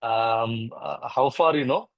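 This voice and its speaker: A man, not an English speaker, asking polite, halting questions on an online call. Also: mid-range voice, dry recording, highs cut off. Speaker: incoming club president asking questions.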